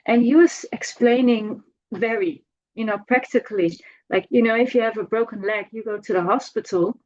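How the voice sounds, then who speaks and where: A middle-aged woman giving an informal spoken remembrance, halting as she searches for words and repeats herself. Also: a little high, darkish tone, muffled recording. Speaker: devotee giving a personal homage.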